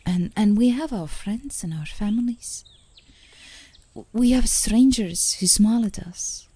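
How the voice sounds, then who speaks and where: A woman playing a tender, playful, adoring girlfriend in an intimate ASMR romantic roleplay, speaking softly and gently, often in a whisper. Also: medium pitch. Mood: upbeat, reassuring. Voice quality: soft, breathy, often whispering. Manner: gentle, affectionate, unhurried, giggly. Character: tender, playful, adoring girlfriend comforting her partner outdoors.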